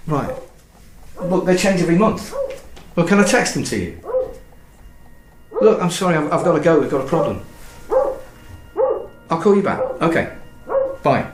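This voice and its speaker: An actor playing a harried, distracted husband in a naturalistic radio drama, hesitant, apologetic and easily flustered. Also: male voice, a little high, sing-song, normal speed, pauses often, roomy sound, over music. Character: harried, distracted husband juggling work calls and house problems.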